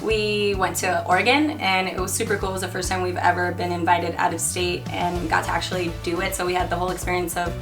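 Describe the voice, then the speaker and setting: A woman's voice: on the low side, level tone, quick pace, hardly any pauses. A woman in her twenties, a band member, chatting in an upbeat, talkative way. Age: 20s. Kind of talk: casual chat. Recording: distant mic with background music.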